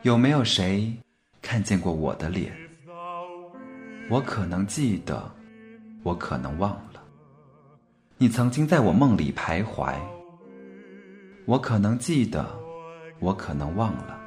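A man presenter giving a measured, reflective scripted narration in a literary, recited style. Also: on the low side, big pitch swings, darkish tone, soft background music. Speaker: podcast presenter.

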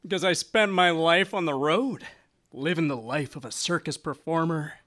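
A man's voice: fairly high pitch, moderate pace, a bit bright, natural voice. A man playing a folksy, easygoing circus strongman in an audio drama, with a hearty manner.

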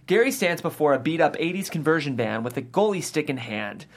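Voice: mid-range voice, sing-song, a little fast. A narrator reading the stage directions of a comedy script aloud at a table read.

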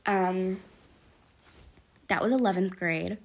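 A woman's voice: medium pitch, takes it slowly. A teenage girl with sniffles from allergies, talking openly to her camera in a rambling, casual vlog. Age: late teens. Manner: chatty, rambling, lots of ums and likes. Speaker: vlogger.

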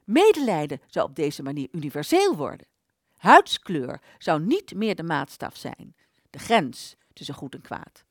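A woman in a Dutch radio play voicing an aborted idea, a bitter vanished thought, telling its tale dramatically with slow emphases. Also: sits low, huge pitch swings, no echo, studio quality, full character voice. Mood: grave.

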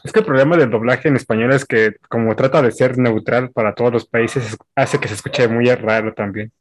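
Young man, casual chat, mid-pitched, talkative. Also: steady tone, brisk. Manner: talkative, explains with examples. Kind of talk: casual chat among friends.

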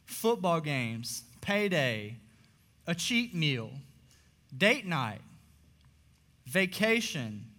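A young man, a pastor, preaching a sermon in a church auditorium, his voice slightly hoarse, earnest and full of "uh" fillers. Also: a little high, very animated, extremely slow, pauses often, bright, forceful tone. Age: young adult. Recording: long reverb of a large hall.

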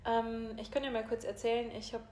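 A woman's voice: fairly high pitch, level tone, a little fast, a little mellow, slight background noise. A woman coach with a German regional dialect, speaking openly and laughing easily, with many fillers. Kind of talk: casual conversation. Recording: echoey room.

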